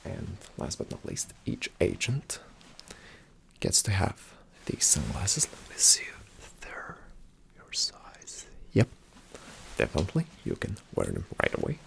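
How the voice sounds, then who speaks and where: Male ASMR performer as a calm, attentive spy agent; lowish, hushed, breathy whisper, unhurried instructional delivery. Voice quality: soft, breathy whisper with some creak. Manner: slow, hushed, deliberate instruction.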